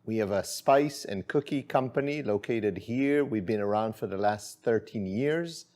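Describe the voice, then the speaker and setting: A man's voice: fairly low pitch, a little slow. A middle-aged man from Israel, chatting easily through a cooking demonstration in his kitchen, with plenty of fillers. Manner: relaxed, friendly, with many fillers. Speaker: chef and spice company owner.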